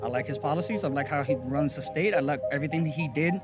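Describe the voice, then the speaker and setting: A man's voice: fairly high pitch, fast, over loud music. A male voter, from Cuba, giving direct, firm opinions.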